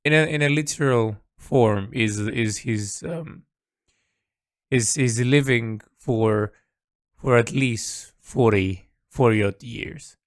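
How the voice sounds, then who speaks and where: A man who is an artist, recording a reflective, unscripted audio diary in a halting manner, repeating words as he thinks aloud. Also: medium pitch, no room sound, studio quality. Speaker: artist keeping an audio log.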